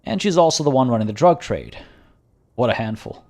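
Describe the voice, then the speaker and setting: Male game streamer, mid-pitched voice, slightly hoarse, rambling casual commentary. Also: lively intonation, brisk, darkish tone. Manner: rambling and chatty, frequent restarts. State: slightly hoarse, losing his voice.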